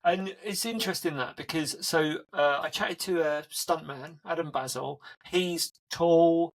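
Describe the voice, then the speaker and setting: A man's voice: on the high side, measured pace. A man hosting a podcast, asking long, winding interview questions in a friendly, conversational way. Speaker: podcast host.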